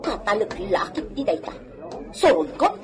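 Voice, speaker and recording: A woman's voice: medium pitch, very animated, normal speed, crowd noise, lightly acted. A woman playing a sassy young tavern seller with an Ilocano accent in a comedy radio drama. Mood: pert. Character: sassy unmarried young woman selling liquor at a village tavern.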